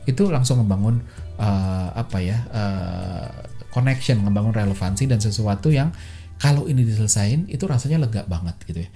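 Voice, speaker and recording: A man's voice: fairly low pitch, animated, moderate pace, dark tone, faint music behind. A man hosting a solo podcast, talking casually and chattily, with many fillers and quoted voices he acts out. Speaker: podcast host. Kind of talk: casual solo explainer talk.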